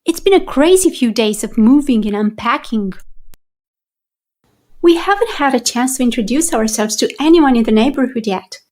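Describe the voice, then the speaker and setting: A woman's voice: on the high side, lively intonation, moderate pace, darkish tone, lightly acted. A woman playing a polite, friendly woman who just moved in and works in IT. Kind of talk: scripted conversation for listening practice.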